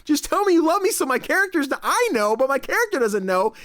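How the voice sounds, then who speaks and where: Young dude on a gaming podcast, swears a lot, gets worked up doing the characters' lines, dry close sound. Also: male voice, very high-pitched, fast talker, no echo. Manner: excited, swears a lot, acts out game dialogue in raised voices. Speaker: podcast co-host. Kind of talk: casual podcast chat.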